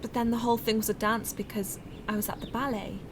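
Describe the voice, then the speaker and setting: Suspicious young woman actor over clear background noise. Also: on the high side, level tone, quick pace, natural voice. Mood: reflective. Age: young adult. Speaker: student actor. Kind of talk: audio drama monologue and dialogue. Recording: noisy amateur home recording.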